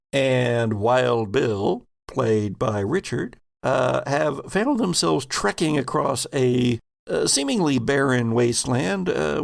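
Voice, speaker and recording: Slow, creaky-voiced man as game master. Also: mid-range voice, dry recording, clean studio sound. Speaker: game master and podcast host. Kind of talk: narrating an actual-play tabletop game.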